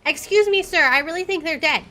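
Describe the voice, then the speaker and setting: A woman's voice: extremely high pitch, brisk, pushed and bright, slight background noise. A woman doing playthrough commentary and reading game text aloud, with a playful, wisecracking manner. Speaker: video game let's player.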